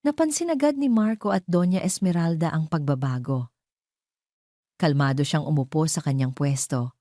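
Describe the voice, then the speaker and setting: Low-pitched Filipino woman narrating, mellow and dry. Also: normal speed, dry recording. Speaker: audio drama narrator. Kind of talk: scripted dramatic story narration.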